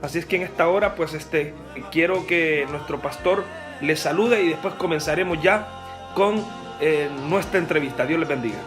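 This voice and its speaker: A man's voice: a little high, normal speed, music in the background. A man hosting a church interview, asking questions formally with frequent pious interjections. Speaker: interviewer and host.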